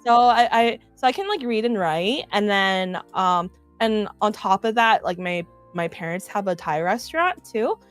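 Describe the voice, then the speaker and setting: A woman's voice: medium pitch, expressive, moderate pace, few pauses, clear, resonant tone, soft background music. A young woman chatting casually, rambling with lots of "like". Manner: rambling, many fillers and restarts. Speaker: vtuber. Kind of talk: casual chat.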